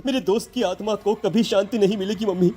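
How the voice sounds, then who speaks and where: A man's voice: high-pitched, steady tone, fast, warm tone, husky. A man voicing a distressed teenage schoolboy in a cartoon story, pleading and reproachful in a dramatic way. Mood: hurt, reproachful. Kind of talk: Hindi cartoon story acting.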